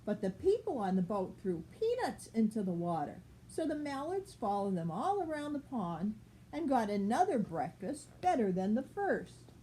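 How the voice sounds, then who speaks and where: Older woman, mellow, lively storybook reader. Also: on the high side, moderate pace, slight background noise, rough recording. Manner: simple, child-directed explaining. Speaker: grandmother reading a picture book aloud to a child. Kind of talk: storybook read-aloud with casual asides.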